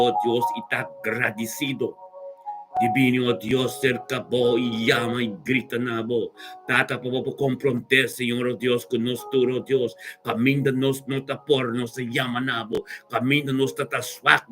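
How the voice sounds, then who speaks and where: High-voiced man praying fervently over music. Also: fairly bright tone. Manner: devout, repetitive invocations and exclamations. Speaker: religious worshipper leading prayer.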